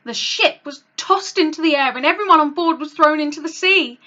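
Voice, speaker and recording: A woman reading a children's story aloud as host, with a dramatic, expressive read-along delivery. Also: sits high, brisk, muffled recording. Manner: dramatic, animated delivery for young listeners.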